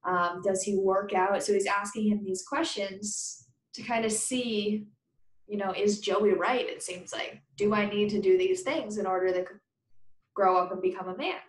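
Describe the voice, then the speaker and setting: A woman teacher reading a children's story aloud, pausing with conversational explanations and questions for pupils. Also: medium pitch, roomy sound.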